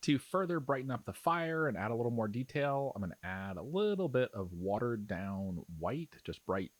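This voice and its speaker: A man's voice: medium pitch, big pitch swings, normal speed, no echo. A man giving a casual hobby tutorial as an instructor, explaining things in an easygoing, reassuring way. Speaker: miniature painting instructor.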